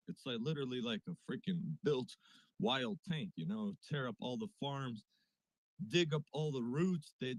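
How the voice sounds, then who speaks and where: A man with a Russian accent, from Siberia, chats playfully and swears now and then. His voice sits at an ordinary pitch. The sound is dry and close. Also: no room sound, rough recording. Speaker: guest caller. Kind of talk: casual chat on a live audio space.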